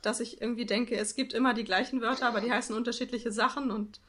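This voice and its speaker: A woman hosting an interview, friendly and laughing often, asking questions at an unhurried pace. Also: fairly high pitch, steady tone, a bit bright. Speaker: interview host. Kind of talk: casual interview chat.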